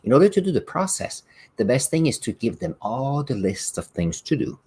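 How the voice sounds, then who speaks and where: A man's voice: medium pitch, very animated, moderate pace, a little mellow, breathy edge. A middle-aged man whose first language is French, hosting his own podcast and explaining ideas with classroom examples. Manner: reflective, explains with examples, occasional restarts. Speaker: podcast host and science teacher. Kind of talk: solo podcast talk.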